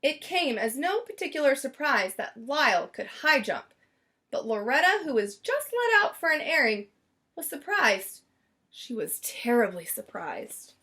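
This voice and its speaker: Female storybook narrator, high-pitched and very melodic, expressive, leans on key words. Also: a little slow. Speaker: storybook reader. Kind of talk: expressive children's read-aloud narration.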